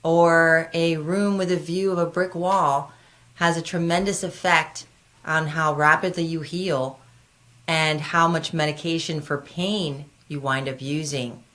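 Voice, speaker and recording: A woman's voice: deep voice, fairly bright tone. A woman hosting a conversational health radio show as a doctor, speaking earnestly and directly to her listeners.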